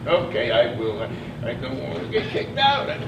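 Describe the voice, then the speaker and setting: A man's voice: medium pitch, huge pitch swings, moderate pace. An actor playing an anxious, childlike, devout man in a stage play, speaking timidly and simply, in a pleading way. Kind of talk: live one-act stage play dialogue. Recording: echoey distant stage, clear background noise.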